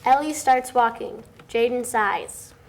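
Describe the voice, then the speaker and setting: A young woman narrates stage directions for a table read. Her voice sits on the high side, with a little noise behind it. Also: slow pace. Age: young adult. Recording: faint background noise.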